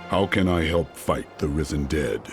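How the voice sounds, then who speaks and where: An actor playing a grim, stern male barbarian warrior in a video game, with a deep, gravelly voice and slow, solemn delivery. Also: over music. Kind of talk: video game cutscene voice acting.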